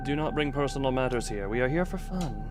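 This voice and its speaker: A man's voice: mid-range voice, animated, quick pace, over loud music. A man chatting casually and goofily as a game streamer, rambling and often interrupting himself. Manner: goofy, rambling, self-interrupting. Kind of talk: casual livestream chat while setting up a game.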